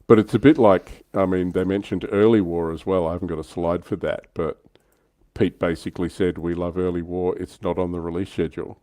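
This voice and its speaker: A very deep-voiced Australian man hosts a casual hobby livestream. His tone is soft-edged and mellow, and the recording is close and dry. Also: fairly even intonation, a little fast, dry recording. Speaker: livestream host.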